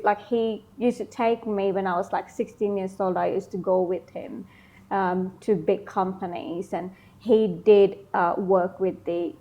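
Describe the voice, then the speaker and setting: A woman's voice: mid-range voice, darkish tone. Sri lankan-born woman, 30s, chatty but hesitant, lots of ums in an interview. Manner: hesitant, many ums and likes. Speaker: interview guest, beauty business founder. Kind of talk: casual interview answers.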